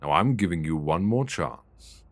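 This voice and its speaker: A man's voice: sits low, animated, measured pace, no echo. A man with a British accent playing a stern, weary but devoted dominant boyfriend in an intimate, emotionally intense audio roleplay, close on a binaural mic. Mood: stern, controlled. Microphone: close binaural microphone.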